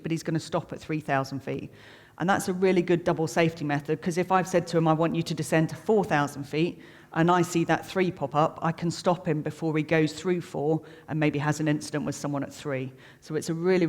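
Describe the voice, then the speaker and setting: A middle-aged air traffic controller giving an informal explanatory talk, plain-spoken and chatty, making technical detail simple. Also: mid-range voice. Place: reverberant room, live talk to an audience. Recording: long echoing hall reverb.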